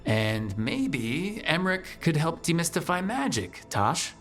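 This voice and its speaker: A wry fantasy team leader. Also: male voice, fairly high pitch, sing-song, measured pace, fairly bright tone, soft background music. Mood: friendly teasing. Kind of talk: video game voice acting.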